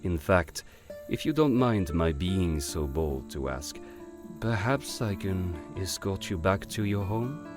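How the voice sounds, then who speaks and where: A man playing a suave, silver-tongued gentleman con artist with a flaming head in an audio drama, courtly and sprinkling in French phrases. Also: sits low, lively intonation, slow pace, over music. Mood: courteous, charming.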